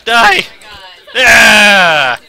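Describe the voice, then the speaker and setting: Male game streamer, sniffly with a cold, chatty and excitable, bright edgy voice. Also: high voice, extremely slow, vocal fry. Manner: excitable, talks to himself while playing. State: has a slight cold, sniffling. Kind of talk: live let's play commentary. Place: game studio event.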